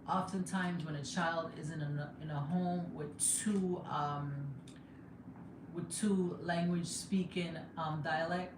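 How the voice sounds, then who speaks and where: Chatty Jamaican woman in an echoey room. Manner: chatty, laughs often, bursts into excited high exclamations. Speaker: livestreamer and mother. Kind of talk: casual live chat. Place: home room. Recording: echoey room with background noise.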